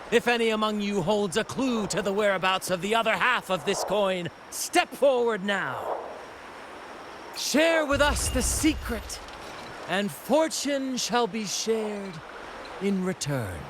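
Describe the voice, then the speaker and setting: An actor playing a theatrical young pirate captain in an anime game, telling tales with grandiose stage flair. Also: male voice, high voice, animated, takes it slowly, noisy background. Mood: grand, proclaiming. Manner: grandiose, dramatic stage delivery. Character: theatrical young male pirate captain and showman, flamboyant storyteller. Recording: loud music behind.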